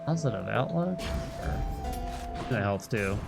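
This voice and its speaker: A man's voice: on the low side, expressive, takes it slowly, vocal fry. Casual male game streamer over music. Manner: musing aloud, conversational. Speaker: livestreamer playing a video game. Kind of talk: casual game commentary, chatting with viewers.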